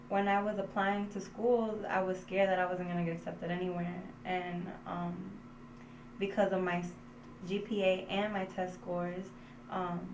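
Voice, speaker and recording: A young woman, a student, giving an informal and hesitant personal testimonial. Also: medium pitch. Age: young adult. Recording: echoey room, faint background noise.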